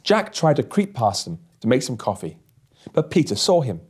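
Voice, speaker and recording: A man narrating a scripted story as an audiobook host, with measured, deliberate phrasing. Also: mid-range voice, very animated, breathy edge.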